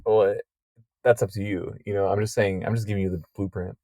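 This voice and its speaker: A young man co-hosting a podcast, crude and swearing, joking in a deadpan way. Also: fairly low pitch, a little fast. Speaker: podcast co-host. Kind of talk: casual chat.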